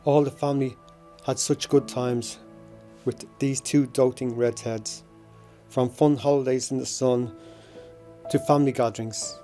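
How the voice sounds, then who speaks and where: A man in his sixties with a Dublin accent delivers a memorial tribute. His voice is mellow and keeps to a narrow, even range as he reminisces in a measured way. Music plays underneath. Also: medium pitch. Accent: Dublin Irish. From Dublin, Ireland. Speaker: bereaved brother giving a tribute. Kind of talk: personal memorial tribute, mostly read.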